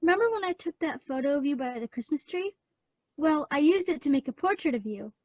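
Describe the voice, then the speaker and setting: A woman acting a spirited, petulant young girl who loves art and has a sharp tongue, in a radio play. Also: sits high, lively intonation, fairly quick, no room sound. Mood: eager. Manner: petulant, sarcastic.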